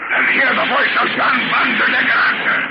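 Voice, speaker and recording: An actor playing an arrogant, blasphemous ship's captain in melodramatic, archaic speech, in the style of 1930s radio horror. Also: male voice, very high-pitched, level tone, a little fast. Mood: raging defiance. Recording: muffled, very noisy old radio recording.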